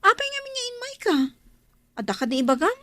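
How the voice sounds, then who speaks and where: A woman's voice: very high voice, very animated, fast talker, bright, forceful tone, exaggerated voice. A woman acting a chatty, teasing hostess in a radio drama, with an Ilocano accent. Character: chatty, teasing woman receiving a visitor at home. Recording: music under the dialogue.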